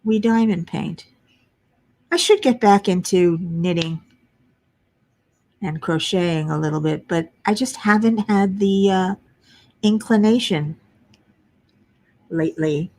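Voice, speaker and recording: A middle-aged woman with a low voice, tired from lack of sleep, chatting casually to viewers as host of a crafting livestream. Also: takes it slowly, pauses often, darkish tone. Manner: chatty, muttering while searching for pieces. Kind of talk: casual live chat while diamond painting.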